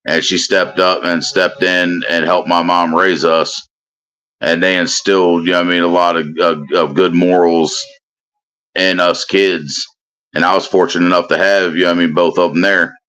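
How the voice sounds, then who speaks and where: A man's voice: sits low, little pitch movement, normal speed, a bit bright, poor audio. A man in his thirties with a Kentucky accent, chatting casually as a guest on a live stream and rambling with lots of "you know what I mean.". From Indiana, US. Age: 30s. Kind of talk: casual chat.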